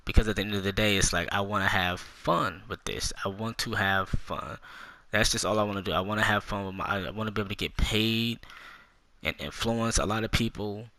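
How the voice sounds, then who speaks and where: Forceful, profane man in his twenties. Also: fairly low pitch, fairly even intonation, brisk, no room sound. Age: 20s. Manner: casual, profane, talks straight to young viewers. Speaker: gaming YouTuber. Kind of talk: casual storytime rant.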